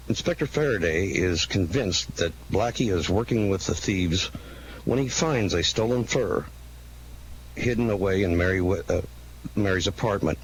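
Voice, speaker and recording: A man's voice: sits low, slight background noise, poor audio. A man reading scripted narration for an old-time radio play, a little stumbling.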